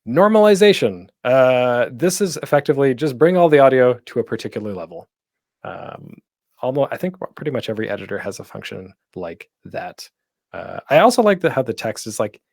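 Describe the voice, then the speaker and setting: A man's voice: medium pitch, very animated, fairly quick, gravelly, a little mellow, no room sound, studio quality. A man presenting a tutorial in a casual, chatty way with light humor. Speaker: panel host giving a podcasting tutorial.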